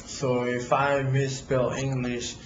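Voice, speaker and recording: A man with a Latin American Spanish accent, a native Spanish speaker, giving a casual how-to talk at his home computer, halting with many fillers and restarts. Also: mid-range voice, completely flat delivery, roomy sound, dull audio, noisy background. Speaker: real estate investor vlogger.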